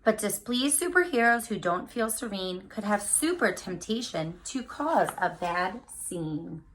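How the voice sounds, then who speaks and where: A woman reading a picture book aloud to children, speaking to them directly with animated, drawn-out emphasis on key words. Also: mid-range voice, a little hiss or hum, rough recording. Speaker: read-aloud storyteller for children.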